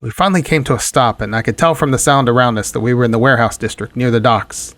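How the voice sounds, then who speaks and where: An actor playing a hard-boiled, wisecracking private detective in 1940s radio drama style, with a wry deadpan delivery. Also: male voice, mid-range voice, quick pace, faint music behind, lightly acted. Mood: brooding narration.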